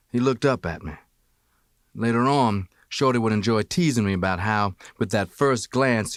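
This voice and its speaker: An American man reading a first-person memoir as an audiobook narrator, with expressive, measured storytelling. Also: lowish voice, no room sound, clean studio sound.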